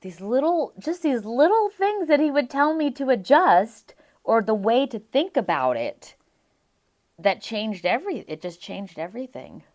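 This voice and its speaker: A young adult woman from Las Vegas, a singer being interviewed, telling her stories in an animated way and laughing often. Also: fairly high pitch, a little slow. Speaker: guest singer. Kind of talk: casual interview chat.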